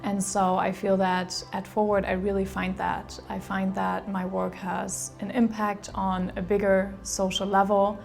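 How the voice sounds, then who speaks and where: A woman's voice: mid-range voice, completely flat delivery, measured pace, creaky, hardly any pauses, darkish tone, soft background music. A woman on the organization's staff speaking sincerely and reflectively in an interview.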